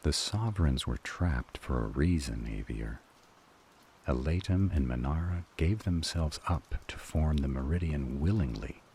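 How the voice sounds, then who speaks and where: An actor playing a grave, cryptic male counselor in a fantasy audio drama, speaking in a measured, formal and ominous manner. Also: very deep voice, expressive, darkish tone, a little hiss or hum, full character voice.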